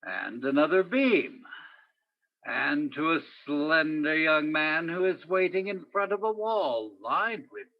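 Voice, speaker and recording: Terse male police detective, acted by a man, highish voice, swinging pitch, clipped radio-noir delivery. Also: lo-fi sound, exaggerated voice. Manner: clipped, theatrical second-person narration and blunt questioning. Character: terse male police detective narrating his own case. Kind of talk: old-time radio crime drama acting.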